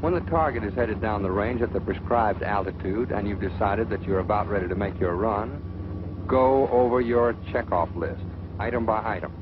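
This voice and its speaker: A man's voice: lowish voice, normal speed, natural voice. A man narrating a 1940s training film as a stern military flight instructor, authoritative, scolding and didactic. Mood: stern instructing. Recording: muffled noisy 1940s film soundtrack.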